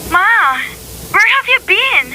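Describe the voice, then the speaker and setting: An actress playing an excited, loving young daughter in a radio drama, bubbly and sweet, slipping into English phrases. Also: female voice, extremely high pitch, takes it slowly, heavily acted. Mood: happy, affectionate. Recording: hissy, noisy radio drama audio.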